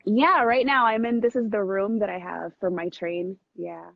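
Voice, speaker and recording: A woman hosting a casual interview over a video call, with a slightly husky voice. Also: mid-range voice, fairly even intonation, normal speed, no room sound. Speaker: vlogcast host and interviewer. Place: private room on a moving train. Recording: muffled, dry video call audio.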